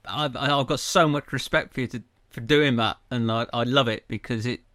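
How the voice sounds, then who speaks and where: A man's voice: mid-range voice, normal speed, a bit bright. A man hosting a podcast interview, earnest and appreciative, often repeating words as he starts sentences. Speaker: podcast host. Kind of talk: casual interview.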